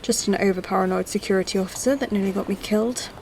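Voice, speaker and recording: A woman acting as a plucky young human spacefarer in a sci-fi audio drama. She talks quickly at a middle pitch, direct and understated, with slight ambience behind. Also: steady tone, gravelly, a little mellow, slight background noise, natural voice, low-quality recording. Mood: guarded, dry.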